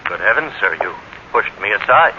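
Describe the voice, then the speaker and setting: A man's voice: fairly low pitch, sing-song, brisk. A man acting a young, formal, evasive butler in a 1940s radio drama, deferential and easily affronted, on an old hissy recording. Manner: formal, deferential, indignant propriety. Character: young, big, stiffly proper, evasive butler. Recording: muffled old radio recording, clear hiss.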